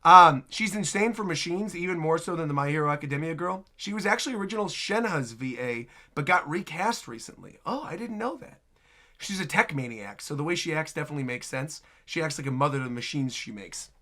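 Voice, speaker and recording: High-pitched, animated male reaction host. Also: brisk. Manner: animated, chatty, emphatic. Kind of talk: casual reaction commentary.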